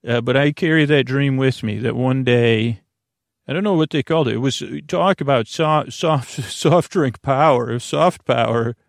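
A middle-aged American man with a gravelly voice hosting a sleep podcast, rambling haltingly, repeating himself and trailing off mid-thought. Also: medium pitch, no echo, studio quality. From United States. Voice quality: gravelly, creaky. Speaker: podcast host.

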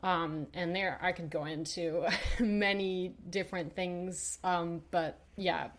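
A young woman from Denmark telling her personal story as a podcast guest, thoughtful and hesitant with many pauses and fillers. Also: on the low side, steady tone.

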